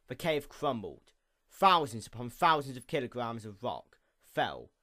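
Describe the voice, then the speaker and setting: Male story narrator, mid-range pitch, solemn and expressive, very lively intonation. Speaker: audiobook-style fan fiction narrator. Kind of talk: dramatic scripted story narration.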